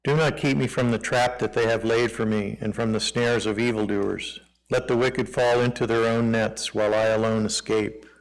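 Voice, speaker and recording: A man with a loud voice, reading psalms aloud in a church during a microphone sound check. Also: on the low side, fairly even intonation, moderate pace, creaky, low-quality recording. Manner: loud, projecting voice. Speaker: scripture reader testing church microphones. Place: church sanctuary. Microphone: pulpit and lapel mics.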